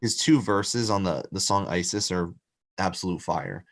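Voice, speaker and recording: A man's voice: low-pitched, moderate pace. A man in his twenties chatting casually on a livestream, laid-back and careful about what he shares. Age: 20s. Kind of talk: casual chat among friends.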